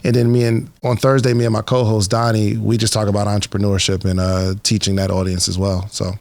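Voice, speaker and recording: A man's voice: lowish voice, flat delivery, fairly quick, darkish tone, no room sound. A man hosting a podcast, interviewing and chatting in a casual, conversational way.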